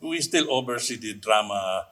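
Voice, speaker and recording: Filipino man, lowish voice, radio drama boss talking slowly and haltingly in an interview. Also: expressive, bright, forceful tone. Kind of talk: casual interview chat.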